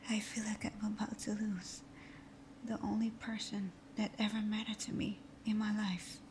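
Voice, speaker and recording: A woman playing a supervillain thief whose teasing bravado hides a timid, wounded heart, in a breathy, often whispered, intimate ASMR roleplay style. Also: mid-range voice, flat delivery, normal speed, noisy background, close to an everyday voice. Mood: vulnerable, confessional. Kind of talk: ASMR roleplay audio drama.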